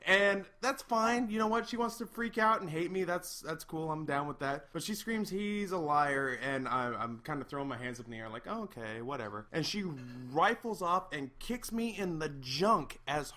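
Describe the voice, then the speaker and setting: A man's voice: on the high side, normal speed, few pauses, bright, forceful tone. Tipsy man telling a story by the fire. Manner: rambling, profane, self-mocking. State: drunk. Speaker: storyteller host. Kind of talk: casual storytelling with friends. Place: outdoors by a fire. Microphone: laptop mic.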